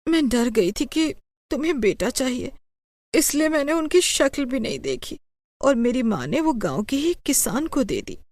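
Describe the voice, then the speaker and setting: A woman's voice: high voice, very animated, normal speed, a little mellow, pitched above the performer's own voice, exaggerated voice. A woman voicing a guilt-ridden, frightened wife and mother in a pleading, confessional way for an audio story. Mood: pleading, guilty.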